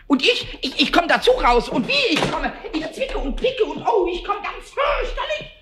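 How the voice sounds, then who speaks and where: An actor playing a small, excitable, cheeky male kobold in a children's radio play, childlike and whiny, repeating words as the figure stumbles along. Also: very high-pitched, moderate pace, a loud crowd, full character voice. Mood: indignant.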